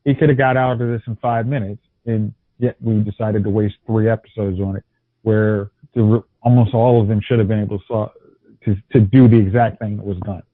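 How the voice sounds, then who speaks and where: A man chatting casually as a podcast co-host, rambling and halting, often restarting his sentences. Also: lowish voice, fairly even intonation, dry recording. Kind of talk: casual chat. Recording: dry, tinny phone-like audio.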